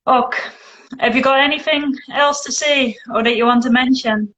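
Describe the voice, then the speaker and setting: A Dutch woman with a Scottish-tinged English accent, hosting a live video chat in a relaxed, dry, teasing way. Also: high voice, moderate pace, slight background noise. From Netherlands. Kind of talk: casual chat. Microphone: phone. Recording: echoey room, muffled phone audio.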